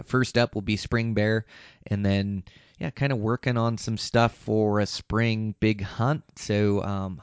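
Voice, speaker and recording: Low-voiced American man, tired podcast host. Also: vocal fry, clean tone. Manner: rambling, informal, hesitant phrasing. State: slightly hoarse, tired. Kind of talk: casual podcast outro monologue. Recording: echoey room, slightly dull sound.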